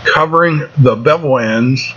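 A man's voice: medium pitch, measured pace, fairly bright tone. Brief: middle-aged male welding host, down-to-earth shop talk, muffled camera-mic sound in a garage with a faint AC hum. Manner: plain-spoken, folksy shop talk. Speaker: welding instructor, show host. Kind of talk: casual instructional voice-over. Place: home welding shop, indoors. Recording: dull lo-fi sound with faint air conditioning hum.

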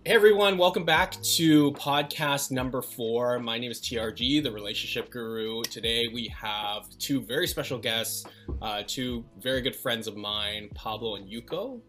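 A young man hosting a casual relationship podcast, upbeat and quick with affirmations, speaking into a headset mic on a video call. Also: medium pitch, fairly bright tone, faint music behind. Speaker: podcast host. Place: indoors, home room.

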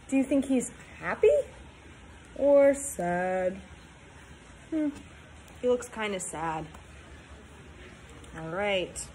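A woman in her twenties reading a storybook aloud to young children, talking in simple, sing-song, animated phrases. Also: mid-range voice, drawn-out words, long pauses, slight background noise, poor audio. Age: 20s. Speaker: teacher reading aloud to pupils.

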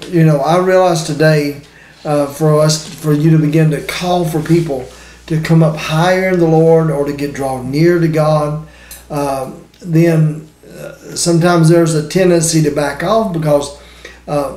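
Male preacher, 60s. Unhurried, hesitant, informal delivery in a roomy space. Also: on the high side, a little mellow. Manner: halting, searching for words. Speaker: preacher, ministry co-host. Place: small indoor room. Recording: roomy, echoey indoor sound.

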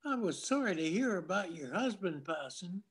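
A man's voice: high voice, sing-song, moderate pace, husky, exaggerated voice. A friendly shopkeeper played by an older man greets customers in an old-time radio drama style. He is courteous and honest. The delivery is that of a simple scripted reading. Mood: friendly, sympathetic. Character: kind, honest widowed neighborhood shopkeeper, man. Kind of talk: 1940s radio drama acting, read from script.

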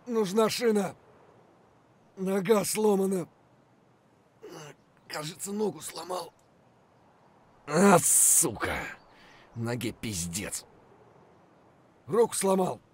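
An actor playing a gruff, foul-mouthed Russian soldier with a husky voice, speaking in terse phrases for a military shooter game. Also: male voice, high-pitched, animated, slow, pauses often, a little mellow, full character voice. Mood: pained, weary.

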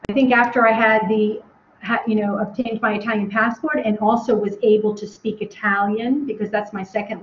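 A woman's voice: medium pitch, slight background noise. An American woman answering questions as a webinar guest from home, rambling with many ums and restarts. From United States. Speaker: guest speaker. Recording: echoey, dull video call audio.

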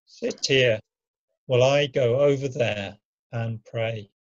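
A man playing a grave, solemn male teacher and prophet in a deliberate scripture drama reading. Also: medium pitch, lightly acted. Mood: sorrowful, solemn. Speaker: amateur reader in church dramatic reading. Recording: dull lo-fi home recording.

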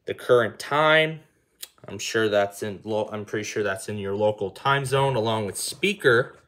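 A man's voice: fairly low pitch, moderate pace, a bit bright, a little hiss or hum. A man giving a casual step-by-step tutorial, often repeating and rephrasing himself as he explains. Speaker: tutorial host. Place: indoor room at a table.

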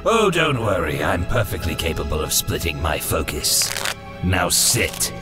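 An ancient soul-eating demon played by a man holds court in a comic dub. The voice is low and very slow, formal and imperious, with dramatic swings in pitch. Music plays behind it. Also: very animated. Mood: smooth menace. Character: menacing, soul-eating seventeenth-century bogeyman demon, urbane and arrogant. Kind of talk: comic dub villain acting.

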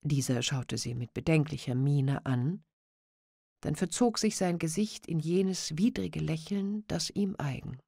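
A woman reads a classic novella aloud at a notably slow, unhurried pace. Her voice sits somewhat low and sounds mellow and dark, and the recording is dry and close. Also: creaky, slightly rough, dry recording. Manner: measured, unhurried storytelling. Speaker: audiobook narrator. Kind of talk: scripted narration of a classic novella.

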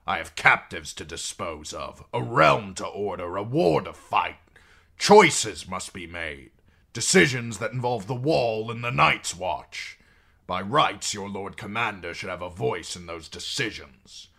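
A man's voice: fairly low pitch, lively intonation, a little slow, gravelly, pushed and bright, exaggerated voice. A man voicing a stern, cold middle-aged king with a curt, commanding manner.

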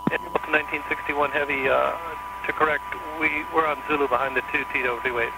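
A man replying to the tower from an airliner cockpit in short, matter-of-fact phrases. His voice sits a little high and barely moves in pitch, buried under loud radio static and hum. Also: little pitch movement, a little fast. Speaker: airline pilot. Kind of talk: radio readback. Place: aircraft cockpit.

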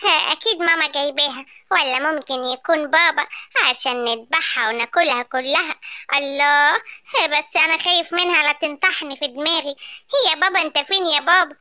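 A woman's voice: high-pitched, a little fast, bright, forceful tone, no room sound, clean studio sound. A woman voicing a naive, plaintive young male animal in a children's story, with an Egyptian accent. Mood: curious, uneasy. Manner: calls out repeatedly, plaintive.

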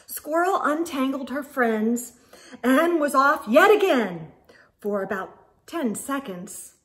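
A middle-aged woman reading a picture book aloud to young children, expressively, with dramatic pauses and exclaimed asides. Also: high voice, animated, fairly bright tone, rough recording. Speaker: children's storytime host. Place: small indoor room with bookshelves.

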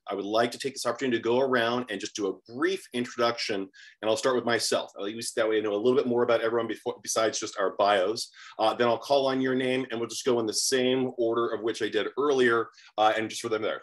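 A middle-aged man from San Diego chairing a public committee meeting over a video call, speaking plainly with frequent fillers. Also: mid-range voice. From San Diego, USA.

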